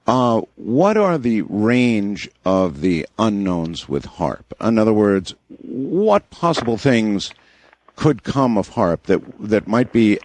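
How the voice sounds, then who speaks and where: A man hosting a late-night radio interview, posing questions with hesitant, deliberate phrasing. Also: lowish voice, darkish tone, dry recording. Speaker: radio talk show host.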